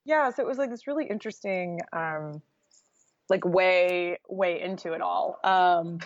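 A woman's voice: on the low side, unhurried, no echo, lo-fi sound. An American woman telling stories casually as an interview guest, chatty and sprinkled with "like". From Oregon, United States.

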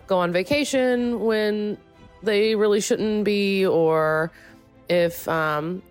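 A woman hosting a short advice segment for color guard educators, congested from a cold and explaining her methods step by step. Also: mid-range voice.

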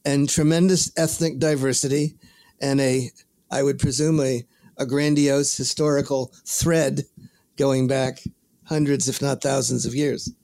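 A man hosting a podcast interview, asking questions in measured, formal phrasing with small stammers. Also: medium pitch, level tone, vocal fry, darkish tone. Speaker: podcast host. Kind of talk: interviewing a guest.

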